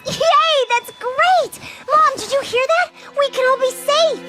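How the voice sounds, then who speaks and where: A woman voicing an excited, adoring little sister, sweet and eager, in a video game. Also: very high-pitched, takes it slowly. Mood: joyful. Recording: loud music behind voice. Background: loud music.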